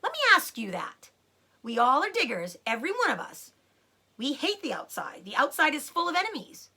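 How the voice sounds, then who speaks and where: A woman's voice: sits high, wildly swooping pitch, moderate pace, gravelly, sharp tone, pitched above the performer's own voice, full character voice. A woman voicing a jubilant, proud male fox giving rousing speeches in a children's storybook. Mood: persuasive. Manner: rousing, declamatory.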